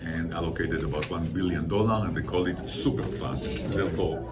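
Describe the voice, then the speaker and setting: An older man with an Israeli accent giving an informal presentation as a guest speaker, explaining things in a halting way. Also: low-pitched, steady tone. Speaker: guest speaker, environmental consultant. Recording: distant mic with loud background noise.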